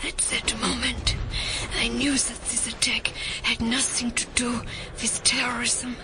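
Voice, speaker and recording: An actress playing a shaken, dedicated female scientist in an audio drama, speaking in a slow, hushed way. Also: a little high, flat delivery, noisy background, lightly acted. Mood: haunted, shaken. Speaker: voice actor. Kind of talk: modern audio drama acting. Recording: dramatic music under the voice.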